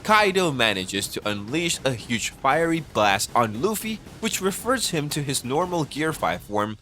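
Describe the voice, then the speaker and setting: A man's voice: mid-range voice, big pitch swings, a little slow, sharp tone, slight background noise. A man reading scripted narration for an explainer video, recorded as a voice-over. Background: background music.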